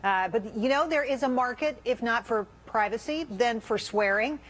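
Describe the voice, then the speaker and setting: A woman's voice: a little high, normal speed. American woman hosting a news panel. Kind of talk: conversational broadcast interviewing.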